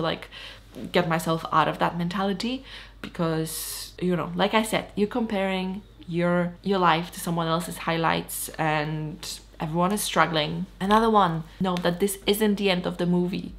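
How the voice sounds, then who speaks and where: A woman's voice: on the low side, creaky, fairly bright tone. A woman in her thirties from Poland, giving frank, blunt motivational talk straight to camera. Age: 30s. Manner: frank, blunt, swears casually. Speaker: lifestyle vlogger.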